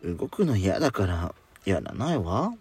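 A man's voice: sits low, sing-song, measured pace, a little mellow, slightly rough, no echo, voice pushed down, full character voice. A man playing a coy, clingy, pampered sweetheart with feminine speech in an intimate bedtime audio drama. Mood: clingy, drowsy. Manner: coy, teasing, feminine wording.

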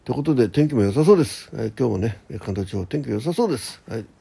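A man's voice: mid-range voice, expressive, rapid-fire, creaky, mellow, husky. A man hosting a casual solo radio talk in a rambling, conversational manner. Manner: rambling, conversational, acts out quoted exchanges.

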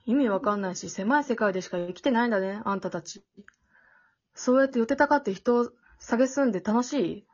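A woman acting a blunt, exasperated but protective teenage girl in an amateur radio drama, dry and sharp-tongued. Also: on the high side, a little fast, husky. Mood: scornful, defiant. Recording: dull, lo-fi audio.

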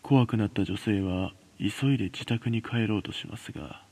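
A man narrating a scripted horror story as a podcast narrator, in a measured, dramatic way with pauses. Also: on the low side, darkish tone, slightly rough.

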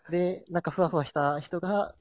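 A man's voice: high voice, moderate pace, muffled recording. A man chatting casually as a cast guest, tentative and thinking aloud. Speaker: voice cast member on a talk show. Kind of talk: casual chat.

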